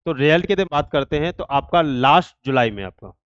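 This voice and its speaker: A man's voice: fairly high pitch, animated, a little fast, smooth, dry recording, dull audio. A man giving students an informal explanatory exam update, repeating himself and leaning on verbal tics. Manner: repetitive, filler-heavy explaining with habitual verbal tics. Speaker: exam update channel host and coach.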